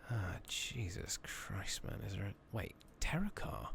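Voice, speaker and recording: A soft-spoken man giving hushed ASMR commentary on a game playthrough, murmuring and musing aloud in an unhurried way. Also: low voice, sing-song, vocal fry, a little hiss or hum. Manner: hushed, murmured, sometimes slipping into whisper. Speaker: gaming channel host.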